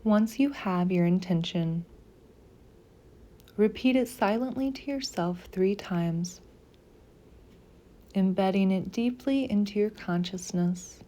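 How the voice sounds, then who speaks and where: A woman guides a meditation in a soft, mellow voice. Her delivery is very slow and deliberate, leaving pauses between short instructions. The pitch sits in a middle range for a woman, and the tone stays gentle.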